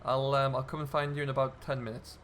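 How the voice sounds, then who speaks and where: A male gaming host with a mid-pitched voice talks casually through what he is doing at the wheel. His commentary is chatty and loose, in a role-play style. Faint vehicle noise and ambience sit behind his voice. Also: flat delivery, fast, fairly bright tone.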